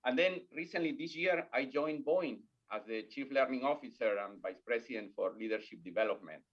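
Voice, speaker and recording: A man's voice: mid-range voice, fairly quick, lo-fi sound. A middle-aged man from Peru with a Peruvian Spanish accent, a native Spanish speaker, speaking earnestly as a guest in a podcast interview with small grammar slips. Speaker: guest, corporate learning executive.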